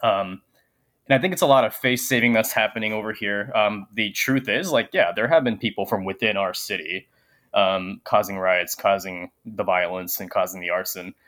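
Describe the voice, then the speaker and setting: Low-voiced young man, many ums. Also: normal speed. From Japan. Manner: soft-spoken with many filler ums. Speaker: guest, teacher. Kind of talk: casual interview conversation.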